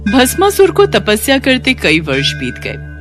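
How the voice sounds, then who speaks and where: Narrator for mythological tales, scripted read, highly varied pitch, music underneath. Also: huge pitch swings, measured pace. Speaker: narrator of devotional myth stories.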